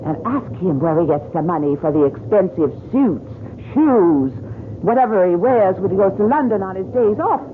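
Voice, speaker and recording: An actress playing an indignant, spiteful household cook in a radio drama, defensive and scolding. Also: female voice, medium pitch, animated, moderate pace, lightly acted. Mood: accusing. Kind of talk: old-time radio drama acting. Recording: tinny, noisy old radio recording.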